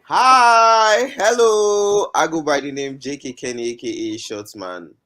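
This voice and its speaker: A man's voice: fairly high pitch, unhurried, sharp tone, slight background noise. A man from Nigeria with a Nigerian accent, chatting casually as a comedian guest on a talk show.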